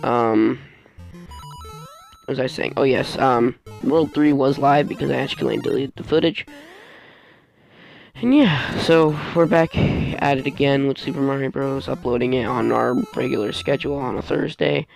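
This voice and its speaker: Male gaming host, gravelly fry, mid-pitched, rambling casual voice-over, game music behind. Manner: rambling, halting, often losing his train of thought. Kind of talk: casual let's play voice-over commentary.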